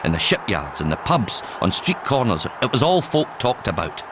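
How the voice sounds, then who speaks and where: Wry Scottish doctor, lively delivery. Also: male voice, on the low side, background noise. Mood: wry storytelling. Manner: wry, measured, teasing. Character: wry, seasoned male Scottish doctor, narrator and mentor. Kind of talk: broadcast radio drama narration and dialogue.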